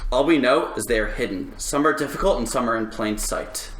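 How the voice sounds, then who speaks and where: A man's voice: mid-range voice, lively intonation, a little fast, a bit bright, slight background noise. A man talking casually as a podcast panelist. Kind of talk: casual podcast chat and reading out. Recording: roomy, echoing group recording.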